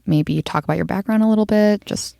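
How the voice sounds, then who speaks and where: A woman's voice: medium pitch, fairly quick, creaky, dark tone, no echo, studio quality. A woman from Hong Kong hosting a podcast, chatting casually and teasing her guest with frequent laughter. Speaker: podcast host.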